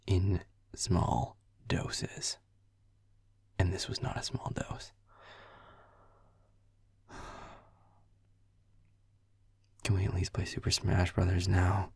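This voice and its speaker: A man playing a grumpy, foul-mouthed young werewolf boyfriend, muttering sullenly in a breathy, gravelly whisper in an intimate roleplay audio. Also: low voice, darkish tone, dry recording, studio quality, heavily acted. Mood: grudging, teasing. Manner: sullen muttering, swearing, sarcastic.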